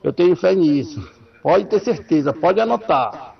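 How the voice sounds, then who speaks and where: Gravelly high-voiced man, emphatic fan. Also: big pitch swings, unhurried. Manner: assertive, emphatic. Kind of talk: confident fan opinion. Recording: muffled lo-fi audio.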